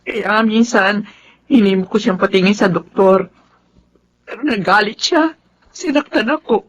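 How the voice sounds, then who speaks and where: A woman's voice: mid-range voice, a little slow, lightly acted, poor audio. A woman with a Filipino accent acting as a middle-aged, long-suffering wife and mother in a radio drama, speaking earnestly and emotively. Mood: weary, sorrowful.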